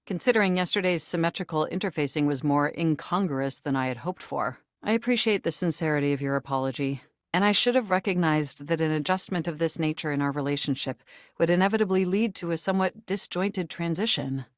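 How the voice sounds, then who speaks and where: A woman's voice: low-pitched, brisk, no room sound, studio quality, lightly acted. A woman voicing a nerdy, eager, flirtatious computer come to life in a video game, speaking in formal, wordy, technical phrases with an earnest, easily flustered manner. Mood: formal, reserved. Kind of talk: scripted video game voice acting.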